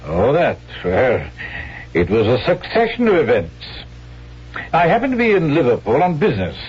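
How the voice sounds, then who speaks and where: Genial rogue, callous and glib, lively swooping delivery; muffled vintage radio drama sound. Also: male voice, mid-range voice, moderate pace, breathy edge, full character voice. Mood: amused, glib. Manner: glib, chatty, falsely deferential. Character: sly, callous male swindler, genial and opportunistic. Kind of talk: 1970s radio drama acting. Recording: dull vintage radio recording, music behind.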